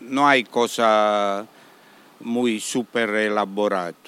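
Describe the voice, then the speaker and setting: A man with a strong Italian accent talks at a slow, unhurried pace in a smooth, resonant voice. He halts and restarts often, slipping Italian words into his Spanish as a restaurant owner being interviewed. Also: fairly low pitch, steady tone, fairly bright tone. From Italy. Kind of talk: radio interview.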